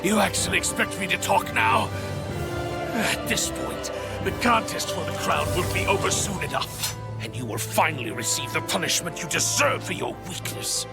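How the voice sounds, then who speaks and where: An actor voicing a haughty, scornful villain officer in a video game, with theatrical contempt. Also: male voice, high voice, moderate pace, gravelly, over loud music. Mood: defiant, spiteful. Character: sneering, arrogant male villain officer, contemptuous and cornered. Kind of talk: dubbed video game cutscene acting.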